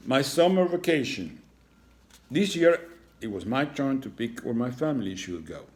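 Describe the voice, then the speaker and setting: A man's voice: mid-range voice, big pitch swings, normal speed, a little mellow, pitched above the performer's own voice, lightly acted. A man voicing a shy, nervous young lizard schoolboy in a children's storybook, speaking earnestly. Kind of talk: children's storybook read-aloud.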